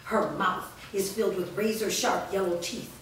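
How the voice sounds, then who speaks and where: A woman reads the stage directions in a steady, descriptive way. She sounds far from the mic in a very echoey room with faint background noise. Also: mid-range voice, normal speed. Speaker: narrator reading stage directions. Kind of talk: staged script reading. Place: large studio room. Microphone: far camera mic.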